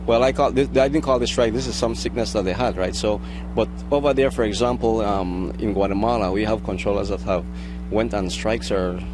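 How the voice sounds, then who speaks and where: Low-voiced Belizean man, halting, in background noise. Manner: halting, repeats and rephrases. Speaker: air traffic controller interviewee. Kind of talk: unscripted news interview.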